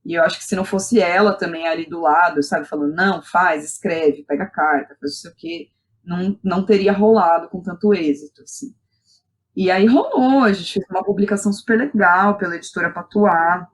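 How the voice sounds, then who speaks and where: A young Brazilian woman speaking as a podcast guest, telling personal stories in an animated, colloquial way and often checking in with the listener. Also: fairly low pitch, brisk, rough recording. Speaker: guest, playwright and theatre director. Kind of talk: casual personal storytelling in a podcast interview.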